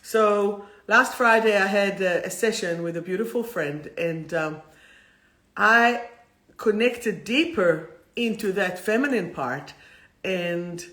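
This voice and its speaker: A woman's voice: mid-range voice, fairly bright tone. A woman with an Israeli accent hosting a chatty livestream spiritual talk in an effusive, playful, rambling manner. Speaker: host of a livestream soul talk.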